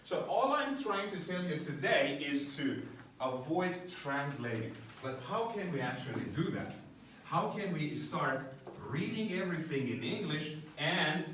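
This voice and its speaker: A man's voice: on the high side, a bit bright, a little hiss or hum. A man teaching an English class, explaining step by step and often checking with his students by asking "right?". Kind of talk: classroom lecture. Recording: echoey room, voice from a distance.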